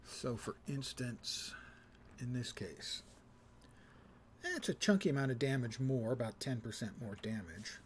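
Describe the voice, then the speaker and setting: A man's voice: mid-range voice, expressive, a little slow. Middle-aged man casually streaming, noisy background. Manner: thinks aloud while playing. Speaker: live game streamer. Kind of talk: casual gameplay commentary.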